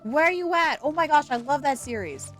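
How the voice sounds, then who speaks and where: A woman's voice: high-pitched, animated, fairly quick, a bit bright, faint music behind. A woman hosting a game livestream, chatting casually and reacting playfully and expressively to what happens.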